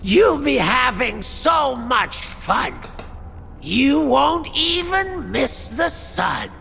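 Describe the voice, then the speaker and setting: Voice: sits high, very animated, extremely slow, vocal fry, sharp tone, in a large hall, soft background music, heavily acted. An actor playing a manic, torture-loving masked executioner in a video game, speaking in theatrical sing-song rhymes. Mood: gleeful, sing-song. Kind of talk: video game character acting.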